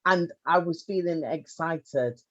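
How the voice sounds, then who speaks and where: A woman hosting an interview, talking in an animated, rambling way with many restarts. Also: deep voice, slow pace, lo-fi sound. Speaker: podcast host. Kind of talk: casual interview chat.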